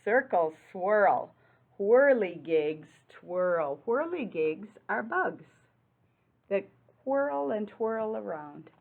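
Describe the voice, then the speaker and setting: A woman's voice: mid-range voice, expressive, vocal fry. Female read-aloud teacher for little kids, simple questioning delivery, emphatic on rhymes, thin phone-quality audio. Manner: simple child-directed talk with frequent questions; deliberate, emphatic delivery of rhyming words. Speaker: teacher reading aloud to young children. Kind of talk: picture-book read-aloud with explanations and questions. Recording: muffled, phone-like narrow-band sound.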